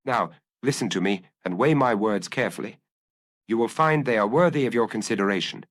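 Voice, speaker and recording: A man's voice: lowish voice, a little fast, a bit bright, close to an everyday voice. A man voicing a dry, hard ex-inspector turned blackmailer in an audiobook detective story, speaking with firmness and precision. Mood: firm, pressing. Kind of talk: audiobook character acting.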